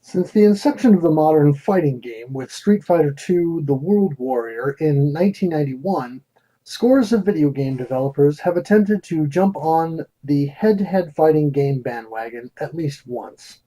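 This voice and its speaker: A man's voice: a little high, animated, moderate pace, mellow, poor audio. A man hosting a retro video game review, reading scripted narration in a theatrical, wordy and wry manner.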